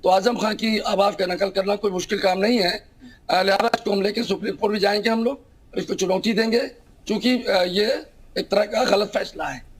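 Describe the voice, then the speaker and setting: A man speaks as a lawyer giving an interview on a legal case. His voice is high for a man and noticeably husky. He explains matters in formal terms. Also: steady tone, a little fast.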